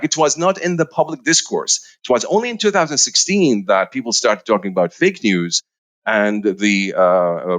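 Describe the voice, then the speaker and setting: A man's voice: medium pitch, a bit bright. A middle-aged man from Iraq, a researcher answering interview questions with many fillers and restarts. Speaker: researcher guest.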